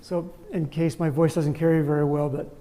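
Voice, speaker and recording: A soft-spoken man presenting on a lapel mic at a club meeting, joking about himself in an easygoing way. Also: fairly high pitch, little pitch movement, normal speed. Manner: soft-spoken, self-deprecating joker. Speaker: guest presenter. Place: meeting room. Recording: reverberant room with faint background noise.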